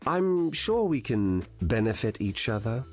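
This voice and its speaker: An actor voicing a sly, suave, scheming trickster god in a video game, haughty, theatrical and persuasive. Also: male voice, medium pitch, sing-song, a little slow, darkish tone, faint music behind. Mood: scheming, smug.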